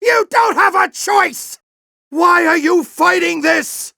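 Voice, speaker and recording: A man playing a grizzled older alien warrior general in a video game, with a gruff, commanding, theatrical delivery and a fanatical intensity. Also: extremely high pitch, slow pace, pushed and bright, no room sound, shouting, clean studio sound, exaggerated voice. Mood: desperate, furious. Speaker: military commander and mentor. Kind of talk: video game voice acting, combat barks and dramatic speeches.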